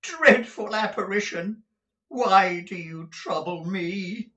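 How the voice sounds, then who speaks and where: A man doing a shrill, terrified old miser. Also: slow, sharp tone, echoey room, distant mic, exaggerated voice. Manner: high, cracking cries of terror. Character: frightened old male miser, shrill and pleading.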